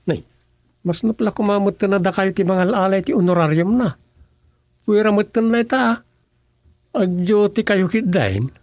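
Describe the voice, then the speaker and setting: A chatty, meddling villager in an Ilocano comedy radio serial. His voice is very high for a man and recorded dry and close. He speaks with an Ilocano Filipino accent. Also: normal speed, pauses often, darkish tone, dry recording. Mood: chiding.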